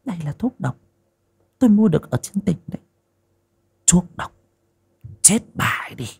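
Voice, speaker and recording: A man voicing a scheming young wife, sharp-tongued and given to hissed whispers. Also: a little high, big pitch swings, normal speed, gravelly, breathy edge, pitched above the performer's own voice, full character voice. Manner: hissing, conspiratorial whispers.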